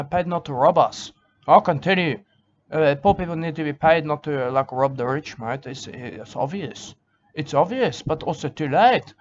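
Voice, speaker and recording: A man's voice: fairly high pitch, a little hiss or hum. A man with an Australian accent talking in a rambling comic monologue outdoors, repeating himself in broken grammar. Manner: rambling, repetitive, broken grammar, keeps saying "mate". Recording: dull lo-fi recording with faint ambience.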